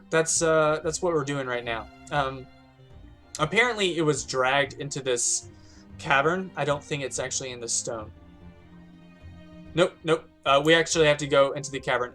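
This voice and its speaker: A man with a hoarse, strained voice hosting casual gameplay commentary, rambling with lots of restarts. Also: a little high, sharp tone. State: hoarse, a little winded. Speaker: gaming channel host.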